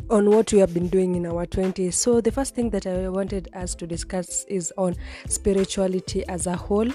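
Mellow-voiced young woman hosting a podcast. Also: mid-range voice. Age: 20s. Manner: chatty, rambling, frequent restarts. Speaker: podcast host. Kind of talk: casual solo podcast talk.

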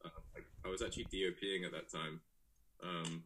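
A man's voice: low voice, fairly quick, rough recording. A young man from England, raised in South Africa, talking casually and rambling through his story as an interview guest. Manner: relaxed, rambling, many fillers and restarts. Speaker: guest, photographer and director.

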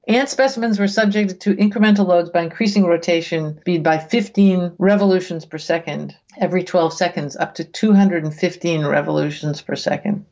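A woman reading research aloud as a guest, with casual, bemused asides, thinking out loud in a conversational way. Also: lowish voice, lo-fi sound. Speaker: guest reader reacting to research papers.

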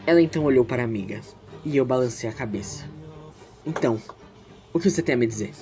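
A man's voice: medium pitch, animated, fast talker, dark tone, soft background music, close to an everyday voice. A man with a Brazilian accent playing an anxious, insecure, ambitious teenage schoolboy in a dramatic audio drama, speaking emotively and emphatically. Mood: uneasy narration. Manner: emotive, emphatic, repeating words when agitated. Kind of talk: dramatic first-person narration and dialogue in audio drama.

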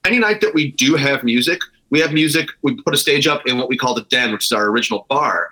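Bright-voiced, enthusiastic man chatting. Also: mid-range voice, fast talker, low-quality recording. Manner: enthusiastic, emphatic, swears freely; self-described nerdy. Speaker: guest, brewery co-owner. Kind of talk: casual interview chat.

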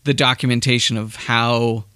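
A man's voice: medium pitch, flat delivery, moderate pace, sharp tone, smooth, dry recording, studio quality. A man from the Boston area, co-hosting a podcast in casual chat, hesitant and restarting often as he explains his opinions. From Massachusetts, outskirts of Boston. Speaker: podcast co-host.

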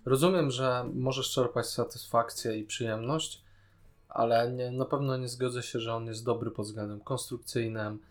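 A man's voice: mid-range voice, fairly even intonation, moderate pace, faint music behind. A man co-hosting a casual podcast discussion, giving opinionated, critical commentary. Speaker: podcast co-host.

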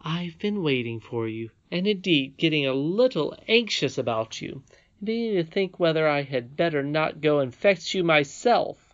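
A woman playing a gentle, loving old grandmother in a storybook, patient and wise. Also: sits low, animated, moderate pace, lo-fi sound, voice pushed up. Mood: loving, relieved. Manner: sweet, patient. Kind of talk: storybook character reading.

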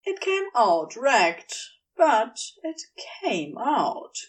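A woman's voice: on the high side, very animated, extremely slow, far from the mic. A woman reading fiction aloud as a scripted story narrator. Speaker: audiobook narrator.

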